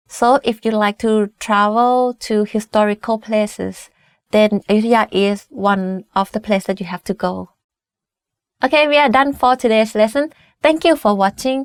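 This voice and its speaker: A woman's voice: fairly high pitch, no echo. Chatty Thai woman teaching vocabulary. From Thailand. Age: 20s. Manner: chatty, many "like" and "I mean" fillers. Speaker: language teacher. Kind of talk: casual vocabulary lesson.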